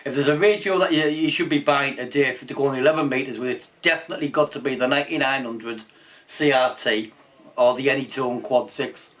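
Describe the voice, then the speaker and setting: A man's voice: medium pitch, fairly even intonation, brisk, a bit bright. A man talking casually and unscripted as a radio hobby channel host, rambling and repeating himself. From England. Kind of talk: casual unscripted vlog talk.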